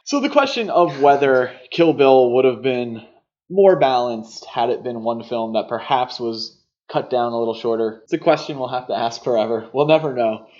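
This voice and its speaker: A man co-hosting a podcast, discussing films conversationally in a measured, analytical and opinionated way. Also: medium pitch, expressive, darkish tone, muffled recording. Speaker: podcast co-host.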